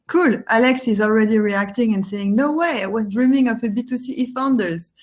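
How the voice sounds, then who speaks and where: A woman's voice: a little high, fairly quick, a little hiss or hum. A woman asking casual questions as the host of an interview.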